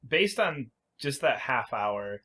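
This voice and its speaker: A man speaks in a bright, crisp-edged voice of average pitch. He sounds like a podcast co-host in casual discussion, offering plain opinions on games in an everyday conversational style. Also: big pitch swings, slow. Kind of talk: casual opinionated game chat.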